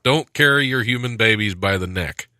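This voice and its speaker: A man's voice: on the low side, moderate pace, sharp tone, dry recording. An American man hosting a casual comedy podcast in a studio, joking and sarcastic as he chats. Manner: joking, sarcastic, often chuckling. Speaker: podcast host. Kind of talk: casual comedic chat about news.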